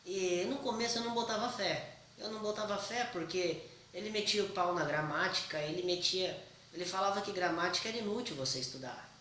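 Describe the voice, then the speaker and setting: A man's voice: high voice, a little fast, pushed and bright, distant mic, slight background noise. Young man, chatty, echoey room. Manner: chatty, keeps asking "certo?", slangy and blunt. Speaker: vlogger. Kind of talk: casual explainer to camera. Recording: echoey room, voice a bit off-mic.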